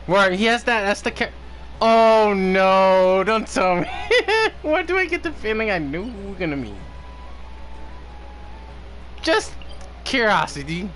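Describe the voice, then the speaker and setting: A man giving casual live gameplay commentary, reacting out loud and muttering asides. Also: high-pitched, expressive, slow pace, pauses often. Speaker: game streamer. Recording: game music behind the voice. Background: video game music and dialogue.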